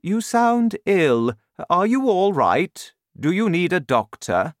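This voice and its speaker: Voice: medium pitch, big pitch swings, slow, dry recording, studio quality, pitched above the performer's own voice. A reader acting an earnest, troubled storybook King. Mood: disbelieving. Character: troubled, disbelieving King of Sweden, grown man, earnest.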